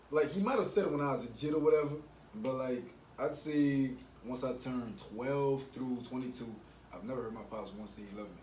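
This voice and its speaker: A man's voice: medium pitch, darkish tone. Young man talking in an echoey room. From Miami, United States. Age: 20s. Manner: earnest, reflective, opens up at length. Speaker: podcast guest. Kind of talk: casual chat. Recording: echoey room, mic at a distance, faint hum.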